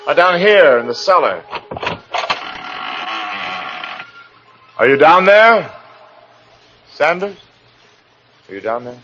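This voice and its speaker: An actor playing an obsessed, unhinged husband in an old-time radio thriller, theatrical and halting, moving between muttering and frantic intensity. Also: male voice, fairly high pitch, very animated. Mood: frantic, calling out. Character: obsessed, unhinged husband researching ghosts, secretly murderous. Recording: dull vintage radio recording with music.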